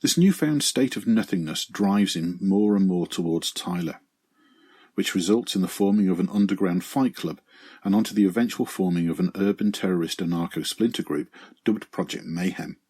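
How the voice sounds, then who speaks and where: Middle-aged male presenter, low slightly raspy voice, earnest reflective scripted monologue. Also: moderate pace, a little mellow. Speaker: podcast segment presenter.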